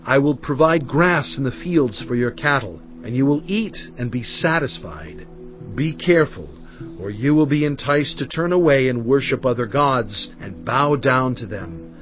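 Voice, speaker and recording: A solemn prophet played by a male actor exhorts his people in a scripture drama. He speaks in a mid-pitched voice with earnest, measured oratory and weighty pauses. The sound is slightly dull, with faint music underneath. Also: expressive, natural voice. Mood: solemn, urging. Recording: dull studio track over faint music.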